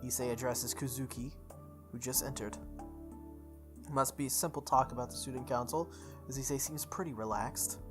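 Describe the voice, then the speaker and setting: A man's voice: medium pitch, fairly even intonation, normal speed, long pauses, over music. A man hosting a playthrough, reading a visual novel aloud expressively, with casual asides and the odd stumble. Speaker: let's play host.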